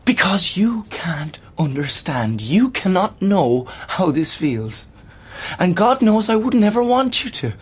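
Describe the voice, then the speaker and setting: A woman's voice: lowish voice, very animated, measured pace, husky, exaggerated voice. A woman acting in a radio play as a bitter, despairing, seriously ill young man, with an intense delivery. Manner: intense, embittered.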